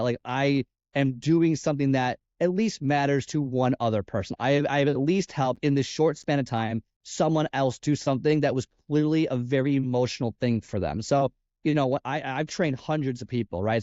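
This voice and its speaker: A man's voice: mid-range voice, mellow, no echo. Nasal man rambling with restarts. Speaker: fitness coach guest. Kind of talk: casual podcast interview. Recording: dull, lo-fi podcast audio.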